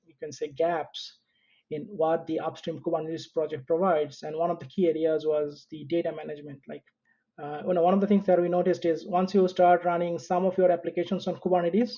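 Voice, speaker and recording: A man with a Bangladeshi accent speaking casually as an interview guest, halting and correcting himself as he goes. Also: fairly high pitch, level tone, warm tone. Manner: halting, conversational, frequent self-corrections. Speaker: interview guest, software engineer and founder. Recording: dull, lo-fi remote connection.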